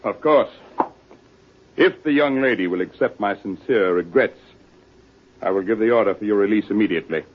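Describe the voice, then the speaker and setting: An actor playing a stuffy, evasive military colonel with a conciliatory manner in an old-time radio drama. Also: male voice, fairly low pitch, normal speed, long pauses, phone-quality sound, noisy background, lightly acted. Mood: placating, uneasy. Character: smooth, evasive, placating male military colonel. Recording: phone-thin old radio recording.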